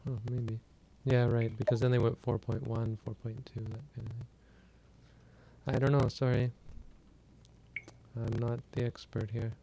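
A man giving a relaxed, plainspoken meditation talk and answering questions on a livestream. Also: medium pitch, a little hiss or hum, low-quality recording. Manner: plainspoken, unhurried, loosely conversational. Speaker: meditation teacher.

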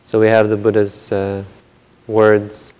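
A man giving a Buddhist Dhamma talk in a very quiet voice, gentle and hesitant, with frequent pauses and restarts. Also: lowish voice, monotone, clean tone, low-quality recording. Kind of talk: soft-spoken Dhamma talk.

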